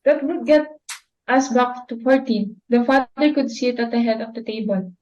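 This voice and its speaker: A woman's voice: on the high side, moderate pace, darkish tone, lightly acted. A young woman in an amateur dramatic reading, playing a sensible young daughter and speaking in an earnest, practical way. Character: sensible young daughter helping her mother. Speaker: student actor. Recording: dull lo-fi recording.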